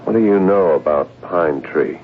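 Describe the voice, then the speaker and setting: An actor playing a nervous, suspicious American secret agent with a hesitant delivery in a 1970s radio drama. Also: male voice, low-pitched, normal speed. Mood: wary, suspicious. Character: edgy American secret agent posing as stockbroker. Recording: muffled phone-like old radio recording, hiss.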